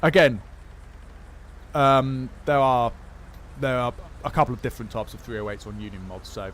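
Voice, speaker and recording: Man streaming a game, mid-pitched, rambles through viewer questions with a faint hiss underneath. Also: steady tone, normal speed, fairly bright tone. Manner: rambling, many fillers and restarts. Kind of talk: casual livestream chat, answering viewer questions.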